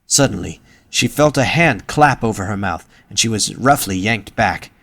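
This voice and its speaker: A man's voice: lowish voice, expressive, normal speed, creaky, fairly bright tone. A man reading scripted narration for an audio drama as its narrator.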